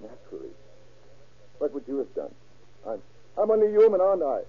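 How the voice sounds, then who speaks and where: Jittery, guilt-ridden suspect on tinny old radio. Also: male voice, a little high, wildly swooping pitch, husky, exaggerated voice. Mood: agitated, defensive. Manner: halting, stammering, pleading. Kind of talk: 1930s radio crime drama acting. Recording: muffled, tinny old-time radio recording.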